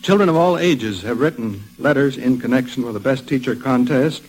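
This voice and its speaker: A man, a professor, giving a formal, measured prepared address on an old radio show. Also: medium pitch, poor audio. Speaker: professor, guest speaker.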